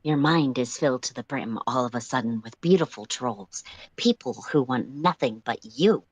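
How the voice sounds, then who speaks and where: Androgynous mid-range storyteller, dry sound, measured and portentous delivery of dramatic narration. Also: medium pitch, breathy edge, dry recording. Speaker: livestream co-reader.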